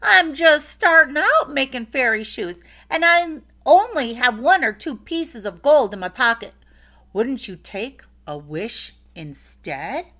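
Storybook: woman playing a tiny clever leprechaun, squeaky and wheedling, lively pitch. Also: slow pace, heavily acted. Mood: pleading. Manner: pleading, wheedling. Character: clever pleading male leprechaun shoemaker.

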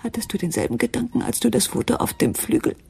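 Anguished wife, very breathy. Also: female voice, fairly high pitch, sing-song, dark tone, exaggerated voice. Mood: fearful, urgent. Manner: breathy, halting, dropping into whispers. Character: anguished, frightened wife and mother addressing her husband. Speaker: actress in a crime radio play. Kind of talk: intimate radio drama monologue.

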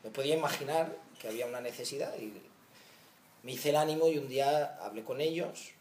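A man in his thirties with a Spanish accent, recounting in a documentary interview how he started helping migrants, thoughtful and halting with restarts. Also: mid-range voice, darkish tone, reverberant, slight background noise. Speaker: volunteer founder. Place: outdoor patio of a house.

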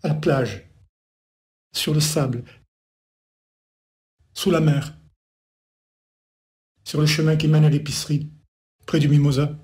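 Slow, higher-pitched man reciting poetry. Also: fairly even intonation, a little mellow. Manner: repetitive, litany-like short phrases with pauses. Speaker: poet.

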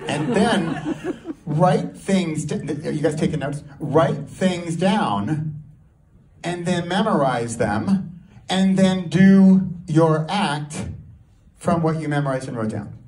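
A man performing a stand-up comedy set, telling a story in an animated way and throwing rhetorical questions at the audience. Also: a little high, slow. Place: live comedy show before an audience. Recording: live room, distant mic, audience noise. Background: audience crowd and laughter.

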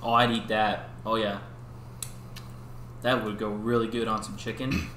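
A man in his thirties chatting casually as co-host, easygoing with quick remarks. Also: lowish voice, little pitch movement, a bit bright. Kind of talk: casual chat. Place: dim home room with gaming chairs. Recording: echoey room with faint noise.

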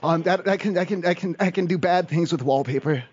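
Prank caller, male, high fast voice, rambling and stammering, feigning innocence, muffled lo-fi audio. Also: darkish tone, breathy edge. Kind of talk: prank phone call.

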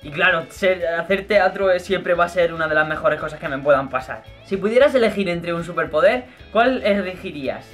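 A young man with a light Murcian accent chats playfully and slightly high-pitched, answering questions. He speaks close to the camera in his bedroom, with faint music underneath. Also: a little fast, few pauses, a bit bright. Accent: Murcian, mostly held back on camera. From Murcia, Spain. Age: young adult. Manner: chatty, playful, gestures a lot, laughs easily. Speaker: YouTuber. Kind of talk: casual Q&A vlog. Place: bedroom, indoors.

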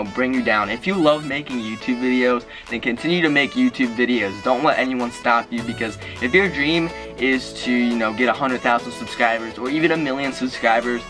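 A seventeen-year-old young man from Maryland, talking casually to his webcam in his bedroom, rambling with lots of "you know" and "like", his voice a bit high for his age. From Maryland, USA. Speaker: YouTuber. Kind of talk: casual confessional vlog. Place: bedroom with game shelves, indoors. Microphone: webcam, close facing camera.

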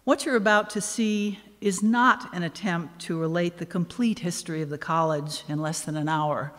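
A woman of around seventy giving a measured, formal welcome speech with dry humor from a podium microphone on stage. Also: lowish voice. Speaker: event host, anniversary committee co-chair. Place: on stage in a large hall. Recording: reverberant concert hall.

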